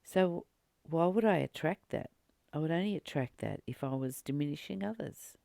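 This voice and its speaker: A spiritual teacher reading aloud and reflecting on a text in a deliberate, earnest way, pausing between short phrases. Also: medium pitch, animated, gravelly, dark tone, no echo, studio quality.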